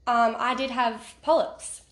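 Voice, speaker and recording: A woman's voice: on the high side, fairly even intonation, unhurried, a bit bright. A young woman, a singer guest who is sick, chatting casually, laughing easily and trailing off mid-sentence. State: sick, congested. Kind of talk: casual chat. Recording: roomy echo.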